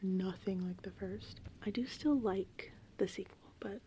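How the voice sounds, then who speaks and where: A woman rambling casually and informally in an ASMR style, with a soft, breathy voice that often slips into a whisper. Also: mid-range voice, a little slow, slight background noise. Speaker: ASMR host. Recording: steady rain and thunder ambience. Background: gentle rain and thunderstorm sounds.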